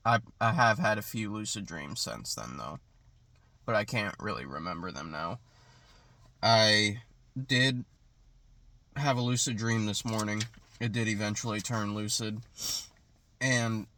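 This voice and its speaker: A man's voice: fairly low pitch, sharp tone, no echo. Congested young man rambling about a dream. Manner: hesitant, rambling, many fillers and restarts. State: congested from allergies, sniffling. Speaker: vlogger recounting a dream. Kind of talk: casual rambling monologue. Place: in a parked car.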